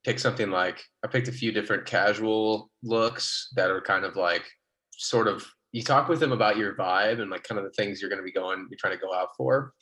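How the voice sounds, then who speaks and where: Bright, low-pitched man chatting casually. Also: poor audio. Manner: halting, piecing advice together. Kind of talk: casual chat.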